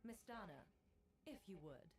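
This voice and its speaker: An actress playing a stern, composed prosecutor in a video game, with formal, measured and accusatory delivery. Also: female voice, mid-range voice, lively intonation, close to an everyday voice. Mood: stern, accusing. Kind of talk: dramatic game voice acting.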